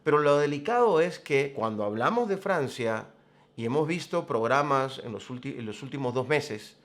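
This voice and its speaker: A man hosting a programme, giving commentary in a deliberate, emphatic, explanatory way. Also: mid-range voice, animated, fairly bright tone. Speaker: host of a religious current-affairs programme. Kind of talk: spoken commentary to camera.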